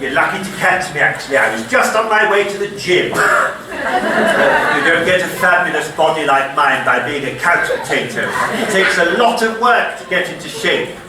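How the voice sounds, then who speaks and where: A vain, gossipy pantomime dame played by a man speaks in a high, animated voice with exaggerated comic flourishes. It is picked up from far off in a very echoey theatre. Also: moderate pace, natural voice. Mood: grand, gushing. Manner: theatrical, exaggerated, self-admiring. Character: vain, gossipy pantomime dame, royal nanny Nurse Hetty. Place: theatre stage. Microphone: far from the performer. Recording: echoey theatre hall, distant noisy recording.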